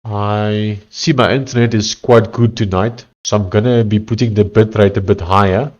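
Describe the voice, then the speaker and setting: A man's voice: lowish voice, fairly even intonation, a little mellow, highs cut off. A man from South Africa with an Afrikaans accent, chatting casually on a livestream and reading messages and text aloud. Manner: conversational, reads text aloud haltingly. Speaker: livestreaming coder.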